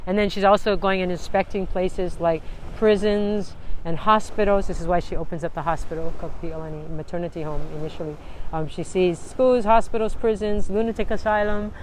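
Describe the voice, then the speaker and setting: Middle-aged woman, a dean being interviewed; lowish voice, keen and chatty, keeps asking "right?". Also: fairly even intonation, a little fast, slight background noise, rough recording. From Hawaii. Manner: eager storyteller, keeps checking in with "right?". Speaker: college dean and history researcher. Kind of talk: casual interview chat.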